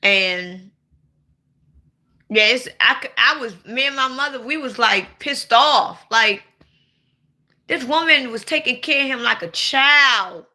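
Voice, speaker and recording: Woman, 40s, very bright sharp tone, blunt, playful, loud bursts. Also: a little high, animated, slow pace, pauses often. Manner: blunt, playful, swings to sudden loud outbursts. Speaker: livestream host. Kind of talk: casual livestream chat.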